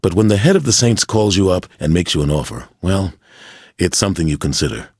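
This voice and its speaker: An actor playing a vain, self-assured movie star turned politician in a theatrical video game monologue. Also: male voice, low-pitched, expressive, brisk, darkish tone, breathy edge, dry recording, studio quality, full character voice. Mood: smug, wry. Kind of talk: scripted character monologue.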